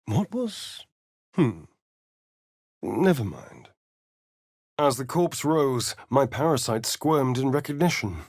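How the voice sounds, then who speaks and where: Sly male vampire rogue, lively swooping pitch, unhurried drawl, sardonic, vain, video game character. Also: dry recording, studio quality, heavily acted. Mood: reflective. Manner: theatrical, arch, drawling, sardonic. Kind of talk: theatrical video game character lines and narration.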